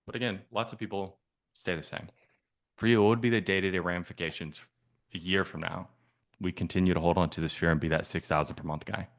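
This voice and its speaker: A man's voice: low-pitched, steady tone, fast. A man coaching on a sales call, asking probing, persistent questions in a casual way.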